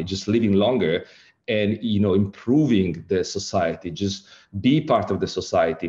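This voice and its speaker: A middle-aged man from Italy with an Italian accent, giving an online lecture with slides, halting and full of fillers and rephrasings. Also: sits low, warm tone, dull audio. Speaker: lecturer, director of an ageing innovation centre.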